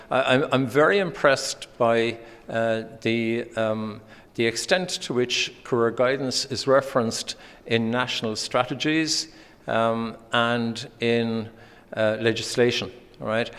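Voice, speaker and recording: A man from Ireland with an Irish accent, giving a conference presentation with many pauses and restarts. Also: medium pitch, smooth. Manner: hesitant, many ums and restarts. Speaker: conference speaker. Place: conference hall. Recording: hall reverb.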